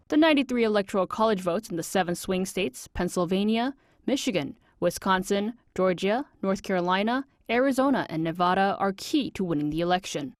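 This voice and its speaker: A woman reporter narrates a scripted news package. Her voice sits at a medium pitch. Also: no room sound.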